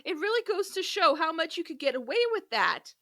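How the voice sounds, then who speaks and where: A woman hosting a podcast in casual, humorous chat, animated and giggly, swearing freely. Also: very high voice, fairly quick. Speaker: podcast host.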